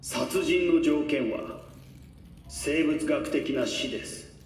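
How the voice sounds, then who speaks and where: A man playing a cold, imperious corporate patriarch who delivers a formal, measured statement in a video game. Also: fairly high pitch, natural voice. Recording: echoey, distant, faint noise.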